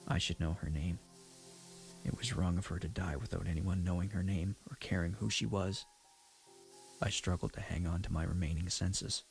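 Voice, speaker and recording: A man's voice: sits low, fairly even intonation, normal speed, creaky, faint music behind. A man playing a guilt-ridden, resigned pony, narrating an audio drama in the first person with grave sorrow. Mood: fading, consoling. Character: guilt-ridden adult pony factory worker, ashamed, resigned.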